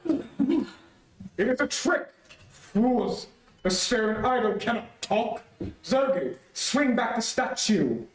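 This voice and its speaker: A man's voice: very high voice, animated, slow pace, long pauses, heavily acted. A man playing a villainous, pompous tyrant emperor in an old-time radio serial, commanding and menacing. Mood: furious. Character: imperious villainous male tyrant emperor of a space empire. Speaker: amateur radio play actor. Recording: echoey, distant streamed stage audio.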